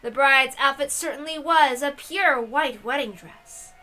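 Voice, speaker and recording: A woman reading a visual novel aloud in an expressive way, with casual asides as the host. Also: sits high, unhurried, soft background music, low-quality recording.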